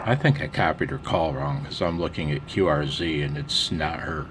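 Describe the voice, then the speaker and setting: A man's voice: rumbling low, normal speed, heavy background noise. A man giving a casual hands-on radio tip in a salty, colloquial, folksy way.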